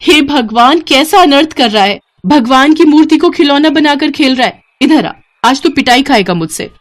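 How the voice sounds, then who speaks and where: A woman puts on a scolding village mother for a storybook tale. Her voice jumps high, with sharp exclamations and very animated, indignant swings. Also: moderate pace. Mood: outraged, scolding. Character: scolding, easily upset young village mother. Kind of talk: animated Hindi moral story dialogue.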